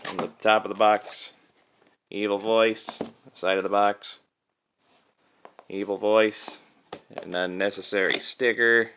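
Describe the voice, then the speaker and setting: A young man giving a casual, unscripted toy review in a chatty, halting way, recorded on a cell phone. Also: lowish voice, little pitch movement, pushed and bright, a little hiss or hum. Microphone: cell phone used as camera.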